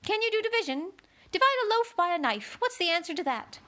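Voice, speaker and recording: A woman voicing a feeble, flustered, anxious queen with a fluttery, high, wavering way of speaking, reading a storybook aloud. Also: very animated, quick pace, a bit bright, exaggerated voice. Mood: prim.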